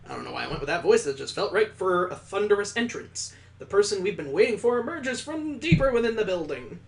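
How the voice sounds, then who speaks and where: A man's voice: high-pitched, very animated, a little fast, vocal fry. A man doing a theatrical read-aloud of a visual novel as a gaming host, breaking off for wry asides. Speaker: video game let's play host.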